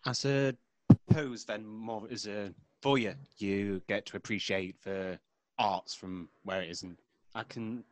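A man's voice: lowish voice, fairly even intonation, takes it slowly, a little mellow, no room sound. A man hosting a podcast interview, asking questions in formal, slightly flowery wording. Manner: formal, slightly florid phrasing. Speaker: podcast host.

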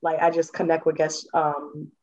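An outgoing woman from the Bronx chats easily as a podcast guest. Her voice sits a little low. The audio is dull and lo-fi, as if squeezed through a cheap line. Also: completely flat delivery, moderate pace, darkish tone, a little hiss or hum. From the Bronx, New York. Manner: outgoing, chatty. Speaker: guest, Airbnb superhost. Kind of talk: casual podcast chat.

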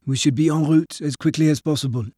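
A man voicing a cautious sergeant major in an audiobook war story, with a formal, deliberate delivery. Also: fairly high pitch, fairly even intonation, dark tone, husky, no echo, studio quality. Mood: grave, cautious.

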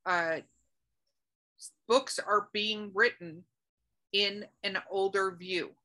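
A woman hosting a casual podcast, asking questions and riffing with playful jokes. Her voice is bright and forward, sitting in a middle pitch. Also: slow pace. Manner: chatty, joking, animated. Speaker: podcast host.

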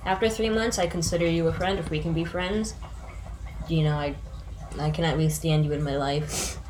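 Raspy woman chatting frankly. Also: sits low, fairly even intonation, normal speed, background noise. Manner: chatty, laughs often, frank. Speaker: podcast co-host. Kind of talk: casual chat.

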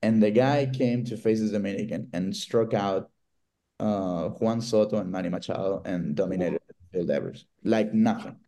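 A Venezuelan-accented man, a Spanish speaker, chatting on a podcast in a mellow, warm voice. He tells stories with animation and acts out the voices of the people in them. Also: lowish voice, moderate pace. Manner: animated storyteller, acts out quotes. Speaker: guest, baseball writer. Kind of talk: casual podcast chat.

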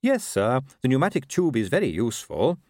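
A man's voice: on the high side, big pitch swings, measured pace, no echo, clean studio sound, pitched above the performer's own voice. A man voicing a dutiful, eager junior police constable in an audiobook, with a deferential way of talking.

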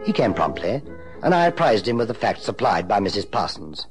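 Radio play lead: polished British detective agency chief, rather deep, expressive, unfailingly polite. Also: male voice, slightly rough, soft background music, full character voice. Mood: storytelling. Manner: urbane, polite, measured. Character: suave, courteous male private investigator and agency boss. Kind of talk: radio drama dialogue and narration.

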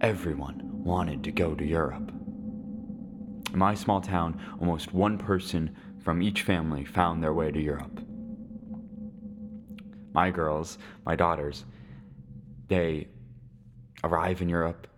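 A man playing a lonely, resigned Syrian widower in his seventies in a dramatic podcast monologue, halting and reflective. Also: low voice, fairly even intonation, noisy background. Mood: sorrowful, reflective. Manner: slow, halting, reflective delivery with weighty pauses.

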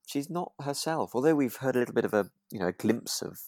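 A man's voice: mid-range voice, expressive, fast, a little mellow, dry recording, clean studio sound. A man co-hosting a podcast, thinking aloud in a casual, rambling and hesitant review of a radio drama. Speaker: podcast co-host.

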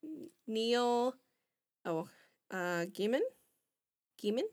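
A woman in her twenties in casual chat on a podcast, talking in a rambling, halting way with many restarts. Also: fairly high pitch, lively intonation, darkish tone, no room sound, studio quality. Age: 20s.

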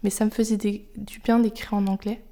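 A young woman with French as her first language hosts a personal podcast, thinking aloud in a soft, confiding way that sometimes sinks nearly to a whisper. Her voice is warm and mellow and sits at a middle pitch. She sounds reflective and unhurried, like someone sharing her own thoughts with listeners. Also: level tone. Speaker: podcast host.

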